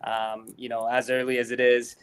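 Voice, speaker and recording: A man appearing as a guest on a casual show, upbeat as he pitches features and talks with many fillers. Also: little pitch movement, normal speed, smooth. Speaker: guest promoting a sports app. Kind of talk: casual promotional chat.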